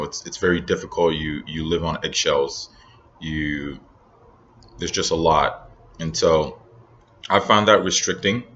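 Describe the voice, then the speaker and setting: A young man from Nigeria with an American accent, talking reflectively in a casual personal vlog, pausing often with ums. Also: very deep voice, a bit bright, lo-fi sound, slight background noise. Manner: reflective, many ums and pauses. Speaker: vlogger.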